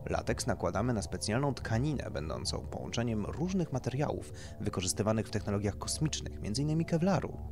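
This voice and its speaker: A man voicing a polite, tactful male doctor who explains procedures clinically in an audiobook. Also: on the low side. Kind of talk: audiobook character dialogue.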